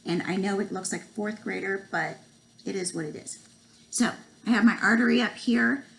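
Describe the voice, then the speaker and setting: A woman in her forties has a cold and is losing her voice as she walks through a homemade lesson. She talks in a halting, casual way, hesitating often and tripping over long words. She speaks at an average pitch into a laptop webcam in a small home room, with a faint hiss in the background. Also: vocal fry, a bit bright, low-quality recording. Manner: halting, many ums, stumbles over terms. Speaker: student presenter.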